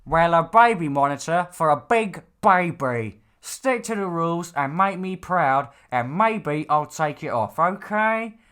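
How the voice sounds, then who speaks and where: A man's voice: a little high, lively intonation, a little slow, hardly any pauses, fairly bright tone, clean tone, voice pushed up. A man voicing a stern, exasperated, lecturing father in a fantasy audiobook. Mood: bossy. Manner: lecturing, bossy. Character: stern, nagging, exasperated father of a demon slayer. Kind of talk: audiobook character dialogue.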